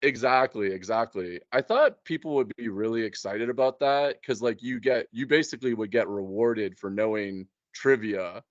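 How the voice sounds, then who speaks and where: A man's voice: fairly low pitch, moderate pace, hardly any pauses, no room sound, lo-fi sound. A man in a casual chat, explaining his plans as a game master in a hesitant, conversational way. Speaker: game master planning a tabletop campaign.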